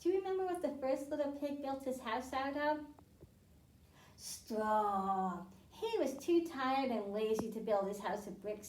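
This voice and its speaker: A woman librarian hosting a children's storytime and science lesson in a library, speaking slowly and clearly and asking the kids questions. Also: on the high side, a little mellow. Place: library room, indoors. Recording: echoey room, mic at a distance.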